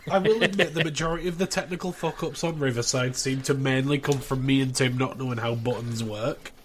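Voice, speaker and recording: A man's voice: mid-range voice, a little fast. A man hosting a casual podcast, bantering in a dry, self-deprecating way. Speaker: podcast host.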